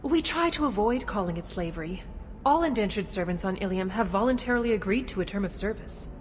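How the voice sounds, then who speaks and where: A woman's voice: medium pitch, lively intonation, natural voice. A woman as a courteous alien concierge, long reverb. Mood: courteous, informative. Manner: polite, formal, measured official courtesy. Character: courteous female alien concierge of a trade city. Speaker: city guide. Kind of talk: scripted video game dialogue. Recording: long reverberant hall, some background noise.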